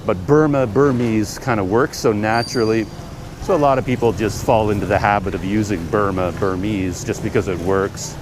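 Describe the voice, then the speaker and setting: A man's voice: fairly low pitch, normal speed. Canadian man chatting over street noise. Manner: rambling, thinking aloud with fillers. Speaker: travel vlogger. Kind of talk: casual talk to camera while walking. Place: busy outdoor street market in a city. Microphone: camera mic. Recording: street noise around the camera. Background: traffic and trucks passing.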